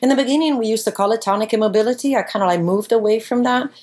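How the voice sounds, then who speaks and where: A woman in her forties from Italy, speaking, answering interview questions in an animated storytelling way with occasional fillers. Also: fairly high pitch, very fast. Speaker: guest, professional shark diver.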